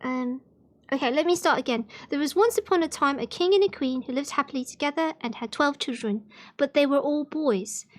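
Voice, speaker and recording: A woman reading fairy tales aloud on a livestream in an expressive storybook manner. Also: sits high, fairly quick.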